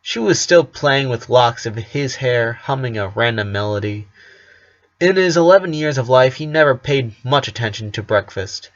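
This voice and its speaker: A man reads a story aloud as narrator in a medium-pitched voice. He is expressive and sometimes stumbles and corrects himself. The recording is slightly dull and lo-fi. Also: moderate pace. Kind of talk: reading fan fiction aloud.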